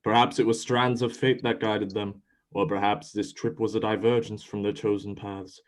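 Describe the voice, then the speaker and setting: A man's voice: lowish voice, little pitch movement, moderate pace. A man narrating a mythological play in a scripted, dramatic storytelling style.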